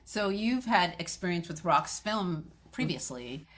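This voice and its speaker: A woman's voice: lowish voice, lively intonation, a little slow. A woman moderating a film festival Q&A, interviewing casually and putting questions in a conversational way.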